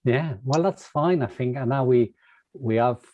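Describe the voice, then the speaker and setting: A man's voice: medium pitch, unhurried, darkish tone, no echo, muffled recording. A man interviewing an artist, asking polite questions with frequent hesitations and fillers. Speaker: interviewer, magazine editor.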